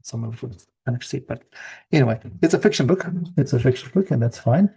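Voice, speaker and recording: A husky, mellow New man chatting away as host of a community podcast, looping back over his phrases for emphasis. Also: mid-range voice, animated, fast talker. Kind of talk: casual podcast conversation.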